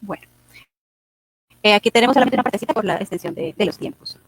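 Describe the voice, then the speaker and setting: A woman's voice: medium pitch, animated, fast, vocal fry, breathy edge. A woman presenting at a forum who guides the audience through material, with fillers. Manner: guiding, with fillers "eh", "entonces".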